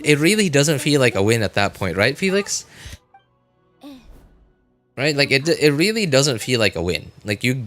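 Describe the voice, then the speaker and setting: A man chatting casually to viewers as a game livestreamer, rambling and often repeating himself in a halting way. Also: mid-range voice, a bit bright.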